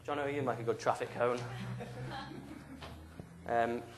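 A man's voice: mid-range voice, steady tone, slow, noisy background. A young man leading a youth group in a small church hall, speaking into a handheld mic in a playful, joking, game-show manner. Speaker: youth leader. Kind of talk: lively talk to a youth group. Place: small church hall with stage and drum kit.

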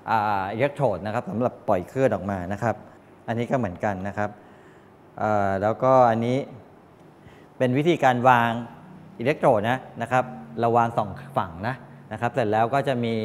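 An older man giving a classroom lecture as a teacher, explaining in a halting way with frequent polite particles. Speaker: technical college lecturer. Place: medium-sized indoor classroom with students. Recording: reverberant room, clear background noise, low rumble.

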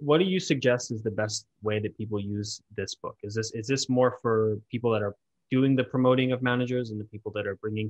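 A man with a lowish voice hosts a podcast, talking quickly and peppering his questions with fillers. The sound is dry and close, with no room to it. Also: dry recording, dull audio. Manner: quick, with many fillers, asks long questions. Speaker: podcast host. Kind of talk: casual interview chat.

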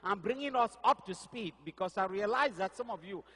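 A man in his fifties whose first language is not English, preaching a sermon on a church stage with a headset mic, animated and gesturing as he searches aloud for words. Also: high voice, brisk, husky, big-room sound. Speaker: pastor. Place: stage of a large church hall.

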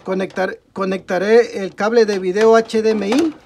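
A man's voice: high voice, fairly even intonation, normal speed, a little mellow, a little hiss or hum. Mexican man talking through a repair. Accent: Mexican Spanish. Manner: talks through each step while working, frequent "ok, amigos" and self-corrections. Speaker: tech tutorial host. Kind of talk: step-by-step repair tutorial. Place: indoor workbench at a desk.

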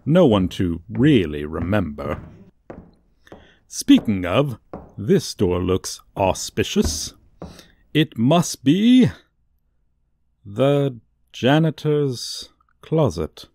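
Casting: male actor as sly villain tour guide, comedic audio roleplay; creaky voice, swooping pitch, sardonic showman delivery. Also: sing-song, dark tone, dry recording, heavily acted. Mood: chatty, insincere. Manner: showy, drawn-out emphasis, dry asides. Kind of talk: comedic ASMR roleplay monologue.

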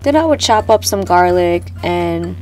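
A woman's voice: lowish voice, lively intonation, measured pace, warm tone. A young woman who sounds stuffed up from a cold chats her way through a recipe in her home kitchen. She talks loosely, full of slang and filler words. Faint music plays under her voice. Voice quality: chatty, laid-back, sprinkles in slang and fillers. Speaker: cooking vlogger. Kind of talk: casual cooking tutorial.

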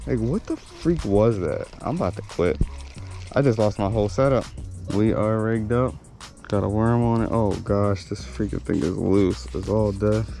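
A young man narrating his fishing trip on a rocky lakeshore, his voice picked up by a camera he wears. He talks casually and gets excitable when a fish bites, with faint music underneath. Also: on the low side, moderate pace, vocal fry. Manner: excitable, swears mildly, narrates while fishing. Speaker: fishing vlogger. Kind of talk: casual vlog commentary.

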